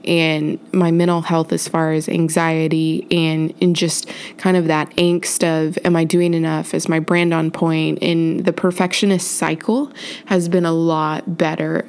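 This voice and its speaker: A woman with a congested, stuffy voice gives a rambling solo podcast update. Her voice sits a little low, and she talks in a casual, chatty way that keeps circling back and correcting itself. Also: a little mellow, clean tone. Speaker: podcast host.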